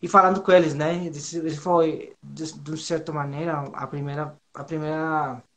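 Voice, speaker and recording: Nervous, halting Mexican man chatting. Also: fairly high pitch, flat delivery, fairly bright tone. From Monterrey, northern Mexico. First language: Spanish. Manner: hesitant, halting, with frequent repetitions and slangy tags. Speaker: guest being interviewed. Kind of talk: casual informal chat.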